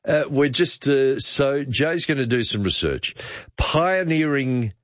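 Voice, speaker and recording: A man's voice: mid-range voice, animated, slow pace, no echo, lo-fi sound. A man hosting talk radio, bantering off the cuff with dry teasing and pointed questions. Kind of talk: unscripted banter with a caller.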